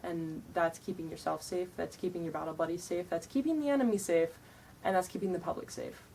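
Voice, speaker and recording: A young woman with a lowish, deeper voice talks straight to camera, blunt and casual, padding her points with ums and likes. She sounds tired. The mic sits a little away from her, with a faint hiss of noise behind. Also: brisk, gravelly, a little mellow, distant mic, rough recording. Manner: frank, blunt, chatty with many ums and likes. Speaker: vlogger giving reenactor tips. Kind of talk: casual advice talk to camera.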